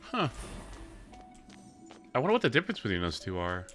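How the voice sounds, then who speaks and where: A man giving casual, excitable gaming livestream commentary, exclaiming and swearing freely as he plays. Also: lowish voice, a little fast, music in the background. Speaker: live streamer playing a video game.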